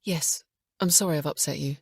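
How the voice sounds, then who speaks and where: A woman voicing a calm, sensitive female detective who speaks gently and patiently. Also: deep voice, brisk, vocal fry, breathy edge, no room sound, clean studio sound, heavily acted. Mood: gentle.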